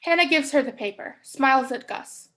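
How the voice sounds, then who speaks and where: A woman's voice: sits high, normal speed, fairly bright tone. A young woman reading stage directions as scripted narration in a staged play reading. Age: young adult.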